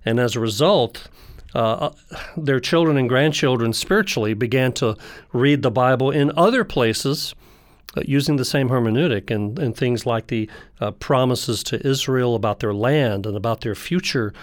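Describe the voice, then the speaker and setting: A man speaking as a guest scholar, explaining theology conversationally in measured phrases with pauses. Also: medium pitch, no echo, clean studio sound.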